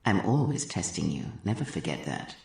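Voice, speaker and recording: Solemn mysterious mentor, breathy low-key delivery. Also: male voice, normal speed, heavily acted. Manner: calm, cryptic, solemn.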